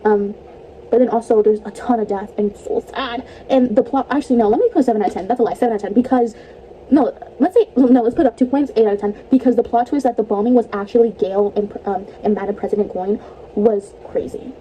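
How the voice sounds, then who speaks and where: Young woman, vlogger, chatty, rambling, stuffy-nosed, very mellow dark tone, slightly high voice. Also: fast talker, slight background noise, low-quality recording. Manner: chatty, rambling, laughs often. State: stuffy, tired voice. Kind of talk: casual movie review vlog.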